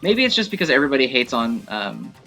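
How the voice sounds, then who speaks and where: A man with a bright, forward voice talks through gameplay casually and informally. His delivery is animated and rambling, at an ordinary male pitch. Music plays faintly behind him. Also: quick pace. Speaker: gameplay showcase host. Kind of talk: casual game commentary.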